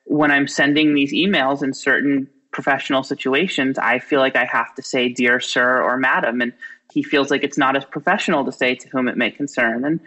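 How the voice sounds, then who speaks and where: A man co-hosting a podcast, telling a relaxed anecdote in casual conversation. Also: mid-range voice, brisk, dull audio.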